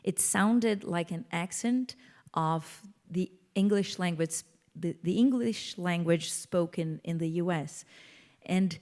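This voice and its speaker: A woman's voice: lowish voice, takes it slowly, darkish tone. A woman from Brazil with a Brazilian Portuguese accent, speaking English as a guest musician in an onstage interview, explaining her ideas thoughtfully with small restarts.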